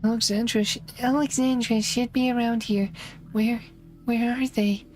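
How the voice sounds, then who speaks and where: A woman's voice: on the high side, steady tone, normal speed, darkish tone, soft background music, close to an everyday voice. A woman voicing an earnest, loyal and worried character in a fantasy game, with a concerned, pleading manner.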